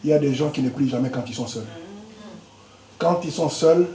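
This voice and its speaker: A man in his fifties preaching a Pentecostal sermon, whose first language is Lingala, moving between quiet asides and loud exhortation. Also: on the high side, moderate pace. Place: church hall. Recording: echoey church hall with faint noise.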